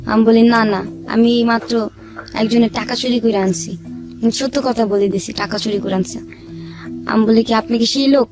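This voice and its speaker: A woman's voice: on the high side, steady tone, moderate pace. A young woman tells a personal life story, repeating phrases as she goes. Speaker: guest telling her life story. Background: background music.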